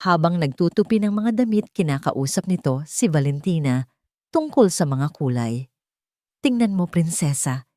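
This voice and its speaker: A woman's voice: deep voice, lively intonation, normal speed, a little mellow, dry recording, studio quality. A woman reading a scripted dramatic story as its narrator. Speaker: narrator of romantic drama stories.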